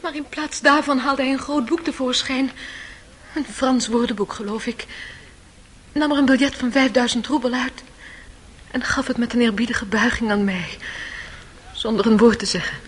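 An actress playing a proud, dignified young gentlewoman testifying in a radio drama, formal and emotional yet restrained. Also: fairly high pitch, fairly even intonation, normal speed, pauses often, husky. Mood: subdued.

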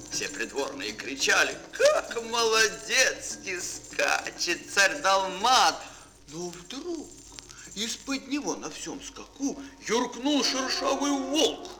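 A man narrating a children's fairy tale as a storyteller in an expressive, theatrical, dramatic manner. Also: sits high.

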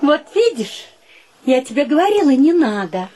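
A woman's voice: high-pitched, big pitch swings, moderate pace, dark tone, rough recording. A woman playing an embarrassed, scolding lady of easy virtue in a radio play, speaking in a colloquial, nagging way. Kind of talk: Russian radio play acting.

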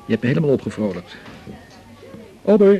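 A man's voice: mid-range voice, big pitch swings, a little fast, mellow, highs cut off, close to an everyday voice. A man with a Dutch accent from the Netherlands playing a cheerful, confiding doctor chatting with a colleague in a radio drama. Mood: cheerful, chatty. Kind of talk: naturalistic radio drama dialogue.

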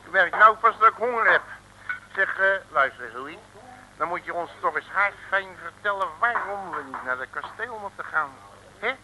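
An actor playing a cocky, jovial, streetwise detective in an old comic Dutch radio serial, breezy and swaggering. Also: male voice, sits high, lively intonation, normal speed. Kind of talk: early-1960s Dutch radio serial acting. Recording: old radio recording, faint hiss.

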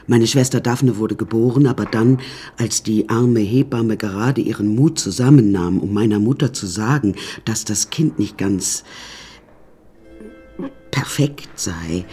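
A woman's voice: a bass voice, dark tone, slightly rough, full character voice. An actress playing a vain, self-dramatizing woman artist who pompously narrates her life to listeners in a radio play. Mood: self-admiring.

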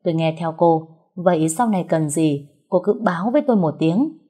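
A woman's voice: lowish voice, lively intonation, brisk, dark tone, husky. A woman voicing an effusive, grateful male district official who exclaims deferentially in a story. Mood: respectful.

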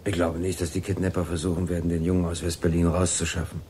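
An unruffled underworld contact in a crime radio play. The voice is very low and husky, and the delivery is casual and offhand. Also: male voice, little pitch movement, fast, mellow. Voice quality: deep, husky. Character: casual, unruffled underworld acquaintance, a bachelor host. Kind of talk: radio drama acting.

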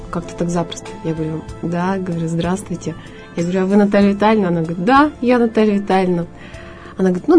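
A woman's voice: on the low side, darkish tone. A woman, a theatre actress, sharing memories in an informal, conversational way with short, simple phrases. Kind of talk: informal spoken reminiscence. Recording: music behind the voice.

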